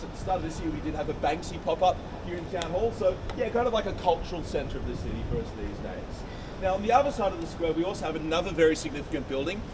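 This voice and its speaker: A man guiding a walking tour outdoors in a city square, with quick, jokey, upbeat patter. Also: a little high. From Australia. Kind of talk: outdoor guided tour talk. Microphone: action camera. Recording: distant voice over loud street noise.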